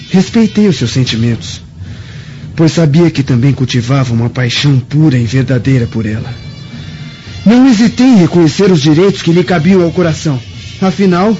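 An actor with a Brazilian accent playing a tormented, noble Roman brother, solemn and declamatory in radio drama style. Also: male voice, a little high, warm tone, close to an everyday voice. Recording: muffled radio drama with music.

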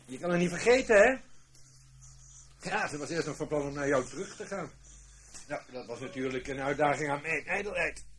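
A man's voice: fairly high pitch, lively intonation, a little fast, long pauses, a bit bright, a little hiss or hum. A man acting in a Dutch radio play as a bitter, taunting agent who talks in a needling, confiding way.

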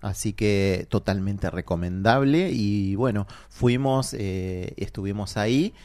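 A man's voice: lowish voice, takes it slowly, a little mellow, no echo. Hesitant middle-aged Argentine radio man. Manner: hesitant, many fillers and restarts. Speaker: radio show co-host. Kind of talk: casual radio chat.

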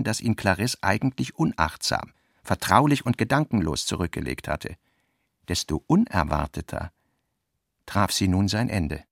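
Low, creaky male literary narrator. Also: no room sound, clean studio sound. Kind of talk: scripted literary narration for a radio play.

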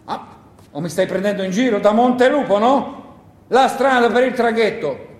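A man playing a brash, bossy partisan commander in a theatrical radio play, loud, indignant and demanding. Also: high voice, normal speed, natural voice. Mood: blustering. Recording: roomy echo with faint noise.

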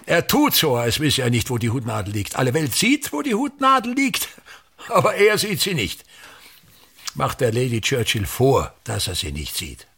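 An actor playing an obsessive, domineering old theatre director in a radio play, with a pompous, declamatory delivery. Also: male voice, mid-range voice, huge pitch swings, normal speed, gravelly, pushed and bright, slightly rough, exaggerated voice. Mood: musing, grandiose. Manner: declamatory, pompous, ranting in fits and starts.

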